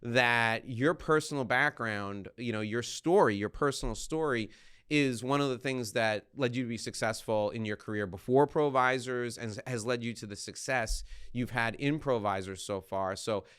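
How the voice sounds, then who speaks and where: A man's voice: mid-range voice, moderate pace, fairly bright tone, smooth. A man hosting an interview podcast, telling anecdotes in a chatty, informal way. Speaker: podcast host.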